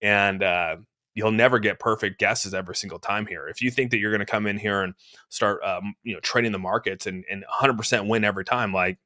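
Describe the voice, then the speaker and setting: A man's voice: lowish voice, fairly even intonation, a little fast, bright, forceful tone. A man hosting a crypto livestream, talking over charts and answering chat in a rambling, laid-back way, slightly congested. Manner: laid-back, rambling, says "dude" often. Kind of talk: casual chart talk answering live chat. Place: room at home.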